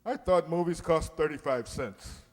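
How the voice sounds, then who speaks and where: An actor playing a pompous, soft-hearted middle-aged uncle in old-time radio comedy style, blustery and hesitant by turns. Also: male voice, fairly high pitch, normal speed, long reverb tail, natural voice. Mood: indulgent, curious.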